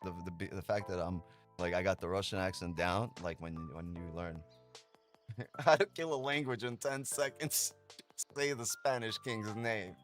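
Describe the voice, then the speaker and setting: A man's voice: on the low side, steady tone, measured pace, soft background music. A man hosting a day-trading livestream, chatting casually, joking and swearing often. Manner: joking, profane, laughs often.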